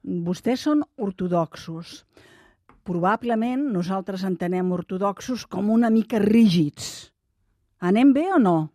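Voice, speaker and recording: A woman's voice: mid-range voice, takes it slowly, mellow, dry recording, clean studio sound. A woman interviewer asking direct, probing questions.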